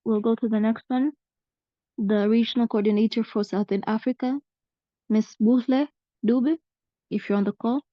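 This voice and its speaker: A woman's voice: a little high, fairly even intonation, normal speed, long pauses, no echo, lo-fi sound. A young woman formally moderating an online meeting and introducing speakers over a call. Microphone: online call microphone.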